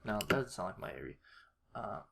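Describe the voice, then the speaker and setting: A man thinking aloud through a casual screen-recorded tutorial, halting and self-deprecating, muttering to himself. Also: on the low side, sing-song, vocal fry. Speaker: video creator demonstrating editing. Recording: noisy home mic with keyboard clicks. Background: typing and mouse clicks.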